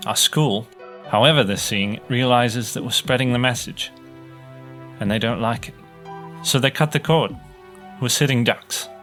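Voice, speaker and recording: An actor plays a young male student technician on a space mission, bitter and fed up. His mid-pitched voice gripes with dry sarcasm in an audio drama monologue, with music playing underneath. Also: moderate pace, fairly bright tone, natural voice. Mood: bitter, frustrated. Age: young adult. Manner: sarcastic, griping. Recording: music behind the voice.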